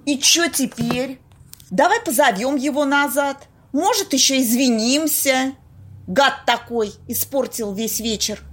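Woman acting a brash, high-voiced skeptical woman. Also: a little slow, a little hiss or hum. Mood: indignant, scoffing. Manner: blunt, colloquial, bossy. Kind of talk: amateur audio play acting.

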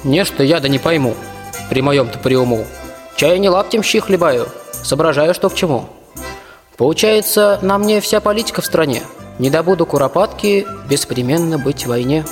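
A man's voice: fairly high pitch, animated, normal speed, faint music behind, lightly acted. A young man playing a plain, good-natured archer who muses in folksy rhyme in a fairy-tale radio play. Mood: earnest. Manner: folksy, musing recitation.

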